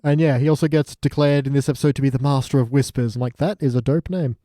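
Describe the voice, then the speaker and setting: A man's voice: mid-range voice, steady tone, fast talker, mellow, dry recording, clean studio sound. A man co-hosting a podcast, talking in a casual, jokey way and often acting out little imagined remarks. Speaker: podcast co-host. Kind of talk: casual chat reviewing a TV show.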